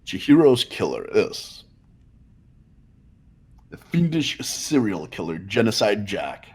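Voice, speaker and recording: A man's voice: fairly low pitch, big pitch swings, slow pace, a little mellow, husky, exaggerated voice. A man voicing a haughty, cold young rich genius who makes accusing declarations in an amateur game dub. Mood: certain, accusing.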